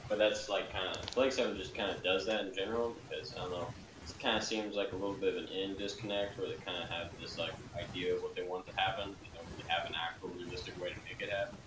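A man giving a halting, filler-heavy opinion on a story in casual chat. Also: low voice, steady tone, reverberant, far from the mic, noisy background. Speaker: guest reviewer.

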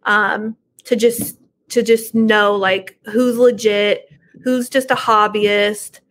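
A woman's voice: medium pitch, flat delivery, slow, fairly bright tone. Middle-aged woman in casual interview chat. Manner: informal, conversational. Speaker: podcast guest, book reviewer and horror entrepreneur. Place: living room at home, on a video call.

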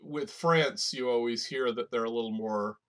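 A man with a medium-pitched voice chats as a podcast co-host. He talks about books in a casual, informal way, with loose phrasing and conversational tags. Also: normal speed, fairly bright tone. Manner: informal, loose phrasing with "like", "right?" and "you know". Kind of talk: casual conversational book discussion.

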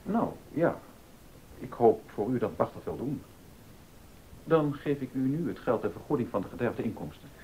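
A courteous gentleman patron played by a man in a period docudrama, placating in manner, with very lively intonation. Rumbling noise sits in the recording. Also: normal speed, long pauses, close to an everyday voice, rough recording. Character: polite, conciliatory gentleman patron, increasingly uneasy. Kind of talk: Dutch docudrama acting.

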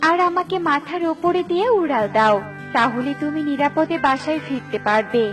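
A woman's voice: high-pitched, normal speed, soft background music, lightly acted. A woman voicing a kindly magic talking plate in a children's cartoon, reassuring and explanatory.

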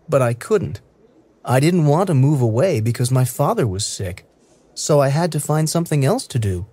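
A man reading short first-person lines slowly and clearly for English learners. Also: medium pitch, dark tone, no room sound. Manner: clear, careful, evenly paced delivery. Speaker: English listening practice reader. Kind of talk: slow scripted reading for learners.

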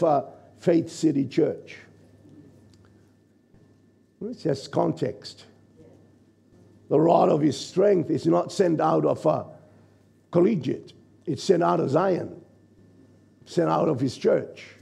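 A man in his sixties, a pastor from New Zealand, preaching a sermon from a church stage with a croaky, gravelly voice and a conversational manner. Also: fairly high pitch, mellow. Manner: conversational preaching, checks in with the congregation. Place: church stage, large indoor hall. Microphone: stage mic at a lectern, far camera.

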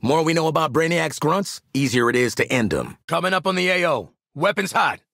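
A hard-edged American mercenary sharpshooter for a video game, fairly high for a man and swinging widely in pitch. He is cocky, sardonic and blunt as he orders his squad around, in a dry, close recording. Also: very animated, a little fast, vocal fry, fairly bright tone, no echo, heavily acted. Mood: confident, blunt. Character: hard-edged male mercenary marksman, sardonic, confident, bossy. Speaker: squad fighter. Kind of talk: video game combat voice lines.

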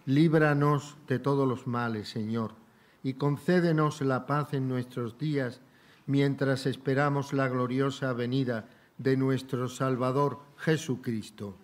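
An older man leading Mass as a priest, reciting liturgical prayers in a measured, solemn way in a large church. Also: mid-range voice, steady tone. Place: large church sanctuary, indoors.

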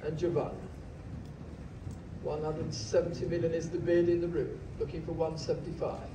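A man working as an auctioneer, calling bids in a steady, rhythmic way in a large packed auction hall, recorded from the audience. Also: a little high, background noise. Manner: rhythmic, measured bid-calling. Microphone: podium mic, filmed by phone from the audience. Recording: distant phone recording in echoey hall. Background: room ambience.

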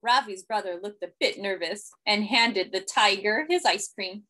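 A woman in her thirties reading a children's picture book aloud expressively, with deliberate, simple phrasing and emphasis. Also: mid-range voice, pushed and bright. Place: small indoor room.

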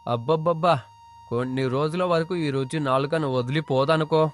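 A friendly village food lover from a cartoon moral tale, played by a man. His voice is on the high side, effusive and respectful, with music behind it. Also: moderate pace, natural voice. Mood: delighted. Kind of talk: animated moral story dialogue. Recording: music under the voice.

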